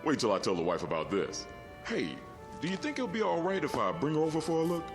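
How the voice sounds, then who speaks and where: A folksy, easily impressed working man chats in a radio drama. His slightly high voice leaps about with jovial exclamations, over clearly audible music. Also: wildly swooping pitch, quick pace. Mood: jovial, curious. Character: chatty, easily impressed working-class meter reader. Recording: music under the voice.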